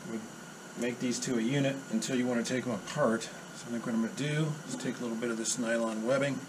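A man's voice: medium pitch, fairly even intonation, fast talker, background noise. A middle-aged man casually narrating his boat build, matter-of-fact and thinking aloud as he works in a cluttered home workshop. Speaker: woodworker vlog host.

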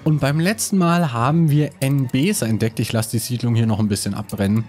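A man's voice: mid-range voice, a little fast, darkish tone, soft background music. A man with a cold, casually commenting on his building game while thinking aloud with many hesitations. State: has a cold. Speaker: let's play host.

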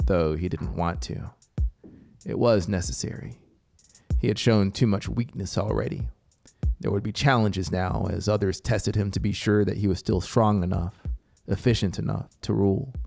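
Low, gravelly man narrating fiction over music. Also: moderate pace, a little mellow, no echo. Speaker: audiobook narrator. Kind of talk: scripted story narration over soundscape. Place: small home music studio, indoors. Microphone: close studio microphone with headphones. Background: atmospheric synth music.

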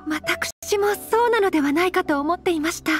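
A woman playing a refined, gentle young noblewoman in an anime game, speaking formally, courteously and earnestly. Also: very high-pitched, lively intonation, moderate pace, faint music behind. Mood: polite, gentle. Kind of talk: anime game character acting.

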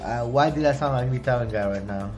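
A young man giving casual running commentary as he opens trading card packs, chatty, excited on big pulls and low on misses, recorded at home on a desk mic. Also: fast, faint music behind. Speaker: card-opening youtuber. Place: home room, indoors.

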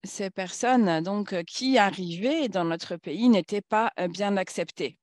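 A woman speaking at a conference in a formal political talk, halting and searching for words as she goes. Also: mid-range voice, a little mellow, no room sound, clean studio sound.

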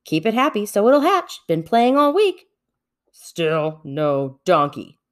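Storybook bear, male: earnest, gullible, excitable, played by a woman, with big pitch swings. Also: slow pace. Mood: proud, determined.

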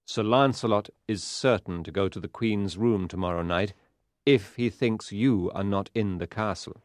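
A man's voice: fairly low pitch, moderate pace, no room sound, natural voice. Man acting a scheming medieval knight, dry sound. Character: scheming, accusing male knight of King Arthur's court. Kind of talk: dramatised storybook reading.

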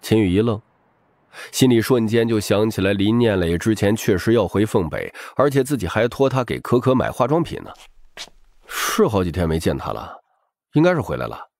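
A man's voice: lowish voice, very animated, normal speed, darkish tone, no room sound. A man reading a novel aloud as a scripted audiobook narrator.

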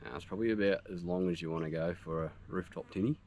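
A man in his thirties with an Australian accent, casually walking through a homemade build outdoors with relaxed, practical talk. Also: deep voice, quick pace. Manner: relaxed, practical, with frequent fillers. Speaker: vlogger. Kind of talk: casual how-to walkthrough. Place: outdoors in a grassy bush camp. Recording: outdoor noise behind the voice.

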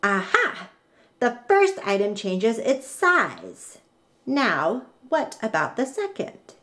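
An eager, inventive little storybook girl, played by a woman reader. She is dramatic and determined, thinking aloud with emphatic exclamations. Her pitch jumps around freely, with drawn-out words. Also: wildly swooping pitch, a bit bright, full character voice. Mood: curious, excited.

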